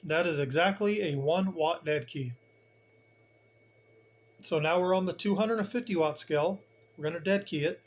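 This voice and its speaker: A man's voice: fairly high pitch, normal speed, pauses often. A man giving a casual hands-on demonstration as a hobbyist host, plain-spoken and colloquial, with emphatic turns of phrase.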